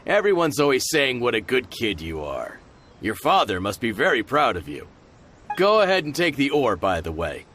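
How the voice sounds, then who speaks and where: Kindly middle-aged game merchant; mid-pitched, swooping delivery, familiar and expressive. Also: male voice, normal speed, a little hiss or hum. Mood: kindly insistent. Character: genial, generous middle-aged male merchant, a father. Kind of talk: video game voice acting.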